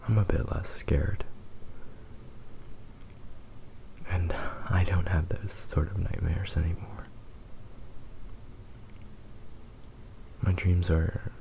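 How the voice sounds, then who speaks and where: A man playing a possessive, doting fox-boy husband in an ASMR roleplay, murmuring intimately and haltingly. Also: low-pitched, creaky, warm tone, slight background noise, full character voice, rough recording. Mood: tender, dreamy.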